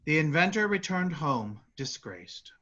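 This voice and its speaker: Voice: medium pitch, big pitch swings, a little slow, a bit bright, lo-fi sound. A performer playing a chatty narrator telling a folk tale in a staged reading, with conversational asides. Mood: storytelling. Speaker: narrator of a play. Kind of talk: theatrical storytelling in a staged reading.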